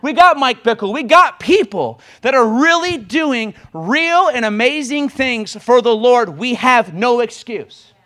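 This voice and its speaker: A man, preaching an impassioned sermon in rapid bursts that swell suddenly louder. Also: extremely high pitch, expressive. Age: 20s.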